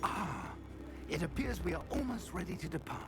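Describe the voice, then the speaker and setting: A courteous elderly professor in a video game, gravelly and lively in pitch as he encourages his pupil. Clear ambient noise sits behind his lines. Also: normal speed. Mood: encouraging. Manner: genteel, encouraging, wordy. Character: kindly older male professor, warm mentor.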